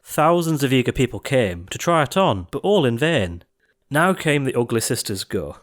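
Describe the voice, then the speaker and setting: A man narrating an audiobook of rhyming verse in a theatrical, expressive storytelling style. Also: medium pitch, normal speed.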